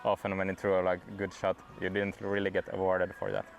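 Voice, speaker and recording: A young man whose first language is Swedish, chatting in a relaxed interview and padding his sentences with "like". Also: low voice, lo-fi sound, soft background music. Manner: relaxed, fills every pause with "like". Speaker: guest disc golf player. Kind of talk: casual interview chat.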